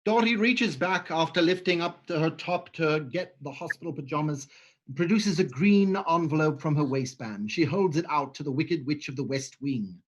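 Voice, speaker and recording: A man's voice: on the high side, moderate pace, highs cut off. A man reading stage directions aloud in a livestream script reading, matter-of-fact.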